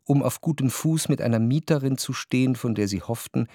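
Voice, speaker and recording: A man playing a reflective, cultured narrator in a radio drama, speaking measured, articulate standard German. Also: medium pitch, mellow, dry recording, studio quality, close to an everyday voice. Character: cultured first-person narrator, reflective society observer.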